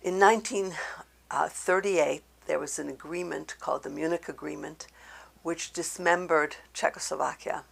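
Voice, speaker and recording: Woman in her eighties from Slovakia, German mother tongue, very gravelly, husky voice, giving measured oral history testimony with many pauses. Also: sits low, fairly even intonation. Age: 80s. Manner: halting, deliberate, measured. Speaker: Holocaust survivor giving testimony.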